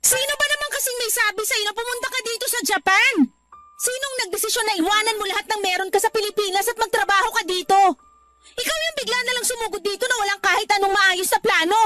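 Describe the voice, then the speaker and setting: A woman's voice: very high-pitched, fast talker, sharp tone, faint music behind. A woman playing a tired, irritable young woman breaking up with her boyfriend in a radio drama. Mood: angry.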